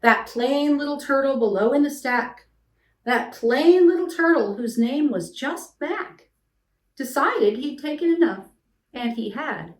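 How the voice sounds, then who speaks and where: A middle-aged woman reading a rhyming children's picture book aloud as an expressive storyteller. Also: high voice, measured pace. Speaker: storyteller, read-aloud host. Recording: echoey room, distant mic.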